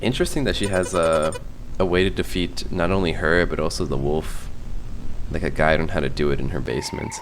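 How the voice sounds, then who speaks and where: Very deep-voiced man casually reacting. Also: fairly quick, noisy background. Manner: informal, thinking out loud. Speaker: reaction video host. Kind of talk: casual reaction commentary on a horror video.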